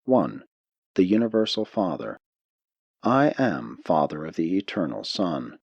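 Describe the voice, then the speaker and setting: A man narrating a spiritual audiobook in a solemn, measured way. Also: sits low, sing-song, vocal fry, darkish tone, husky, no echo, highs cut off. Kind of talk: scripted narration of a spiritual text.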